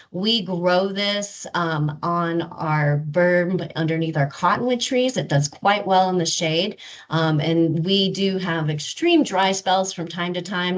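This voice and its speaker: A woman giving a webinar talk on gardening, relaxed and informative, with frequent filler words. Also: on the low side. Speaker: rose garden presenter. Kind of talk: webinar presentation.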